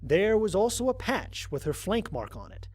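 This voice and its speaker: A man playing a wry, grumbling human astronaut who records mission logs in an audio drama, speaking in a conversational, expressive way. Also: high-pitched, normal speed, slightly rough, exaggerated voice. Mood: wry, amused. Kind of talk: dramatized first-person log narration.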